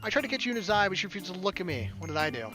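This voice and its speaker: A man's voice: sits high, sing-song, rapid-fire. A man narrating a visual novel as a let's player, reading theatrically and adding casual asides. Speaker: game streamer reading aloud. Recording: game music behind voice.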